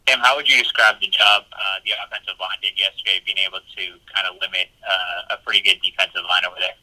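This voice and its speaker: Male reporter on a phone line, low voice, quick questions with an even delivery. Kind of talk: press conference question.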